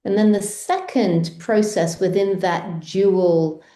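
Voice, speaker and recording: A woman guest explaining research in a measured, structured way over a video call. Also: medium pitch, dark tone, roomy sound. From Switzerland, Australia and France.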